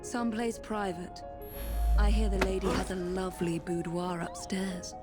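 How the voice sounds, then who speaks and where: A woman's voice: mid-range voice, little pitch movement, normal speed, over loud music, close to an everyday voice. An English woman playing a determined, coolly menacing assassin in a video game cutscene, speaking in a clipped, formal way. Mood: cool threat. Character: determined, cool, menacing female assassin, Miss Fry.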